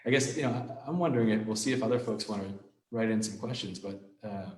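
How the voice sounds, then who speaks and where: A man's voice: on the low side, fast talker, darkish tone. A man moderating a casual live question session, talking in a hesitant, rambling, conversational way over a video call. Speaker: host and moderator. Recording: roomy, echoing video-call audio.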